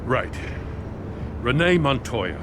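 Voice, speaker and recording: A weary, gruff middle-aged police commissioner in a video game. He talks bluntly and sounds worn down at mid pitch, with noisy city ambience around him. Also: very animated, slow. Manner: tired and blunt, pleading.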